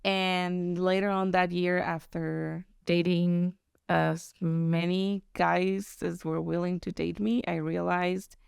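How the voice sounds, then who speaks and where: A woman with a Mexican Spanish accent, her voice lower than most and smooth, with a gravelly edge at the ends of phrases. She tells her story in relaxed conversation with quick asides, and the sound is close and dry. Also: no room sound. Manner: animated, laughs often, quick asides. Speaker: podcast guest. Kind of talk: casual interview storytelling.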